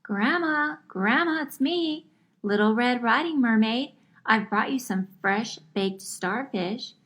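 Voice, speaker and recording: A woman's voice: fairly high pitch, animated, takes it slowly, fairly bright tone. A woman voicing a naive, foolish little mermaid girl in a puppet show, with a singsong manner. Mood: naive wonder.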